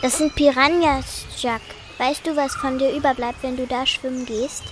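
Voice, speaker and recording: High child voice, pupil acting as bossy knowing explorer, chiding, scripted, school radio drama, noisy sound. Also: steady tone, normal speed, lightly acted.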